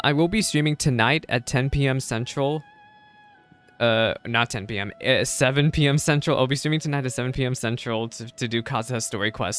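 A young man streaming games, giving casual reaction commentary in a chatty, halting way with repeated words. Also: a little high, fairly bright tone, clean tone. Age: young adult. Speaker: game streamer. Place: indoor streaming setup. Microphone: close desk microphone, headset worn.

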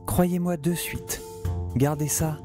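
A man's voice: a little high, fairly even intonation, slow pace, mellow, soft background music. An actor playing a sarcastic, geeky young male scientist in an audio drama, wryly telling his adventure and rambling. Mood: sardonic. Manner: wry, rambling, talks to the listener. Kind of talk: audio drama narration and dialogue.

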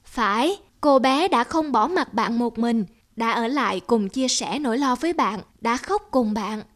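Woman acting a gentle, high-voiced young mother. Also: lively intonation, measured pace. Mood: gently earnest. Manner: soothing, gently instructive. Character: gentle, patient young mother, wise and caring. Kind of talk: cartoon voice acting.

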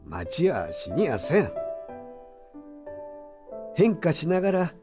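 An old craftsman in a radio drama, gruff and kindly. He talks in a measured, rustic way, with a fairly high voice that swings widely in pitch. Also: big pitch swings, music in the background. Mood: stern, urging. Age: older. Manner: elderly rustic speech, plain-spoken, measured. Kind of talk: Japanese audio drama acting.

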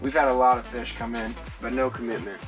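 A young American man chatting excitedly while ice fishing, recorded on an action camera inside a small tent. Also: medium pitch, fast talker, faint music behind. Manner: excited, upbeat, cheering catches. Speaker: vlog co-host. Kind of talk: casual outdoor chat.

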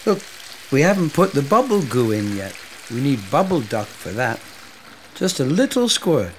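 A man voicing a small, patient, kindly knitted caregiver creature in a preschool cartoon, calm and reassuring. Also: medium pitch, normal speed, a little hiss or hum. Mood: patient, gentle. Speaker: cartoon character. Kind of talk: gentle preschool cartoon dialogue.

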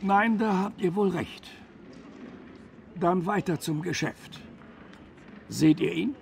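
A man playing a grave, assured gentleman who leads a secret order in a video game, formal, deliberate and authoritative. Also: high-pitched, vocal fry, a little hiss or hum, exaggerated voice. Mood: hushed, businesslike. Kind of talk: dubbed video game cutscene dialogue. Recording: long reverberant hall.

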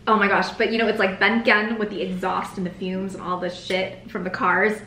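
A young woman from the United States chats over a meal in an echoey room, her voice bright and forward. She laughs easily and slows right down when she models a phrase to be repeated. A faint hiss sits under the room sound. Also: mid-range voice, little pitch movement. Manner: laughs often, teaches slow careful phrases. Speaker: co-host of a food vlog. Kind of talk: casual chat. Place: bright dining room indoors, at a table.

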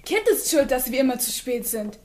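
A woman's voice: high voice, expressive, moderate pace, darkish tone. A woman playing an exasperated, sensible teenage girl in a German fan-dubbed anime audio drama. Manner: exasperated, nagging.

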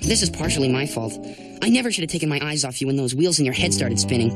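An earnest teenage boy from a cartoon, speaks fast and with concern. The pitch is lively, and music plays underneath. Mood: sincere. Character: earnest, worried teenage boy, loyal voice of reason. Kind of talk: cartoon voice acting. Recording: music behind the voice.

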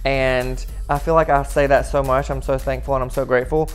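A young man talking casually and excitedly in a backstage room on a lapel mic, playful and gushing. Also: mid-range voice, brisk, soft background music. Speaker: comedian.

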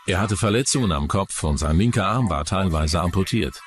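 A man's voice: deep voice, expressive, fairly quick, over music. A man dubbing a matter-of-fact police investigator for a documentary. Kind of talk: documentary voice-over dub.

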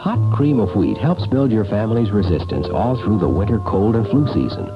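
A man's voice: lowish voice, lively intonation, fairly quick. A man announcing a scripted 1960s radio commercial on an old AM broadcast. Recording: dull 1960s AM radio aircheck. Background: music.

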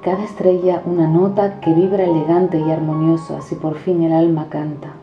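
A woman declaiming poetic prose in a solemn, measured recitation. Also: low voice, level tone. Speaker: poetry reciter. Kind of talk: declaimed spoken-word poetry. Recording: dull reverberant recording over music. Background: background music.

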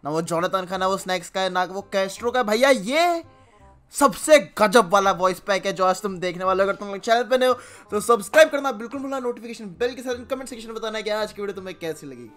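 A young man reacts to gameplay as a host. His delivery is casual and animated, peppered with slang and teasing exclamations. Music plays clearly behind his voice. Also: high voice, fast talker. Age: young adult. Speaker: gaming YouTuber host.

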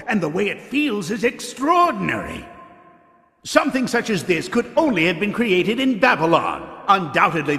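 A man's voice: sits high, fairly bright tone, big-room sound, heavily acted. An actor voicing an arrogant, greedy male hawk who leads a band of thieves, in a video game cutscene.

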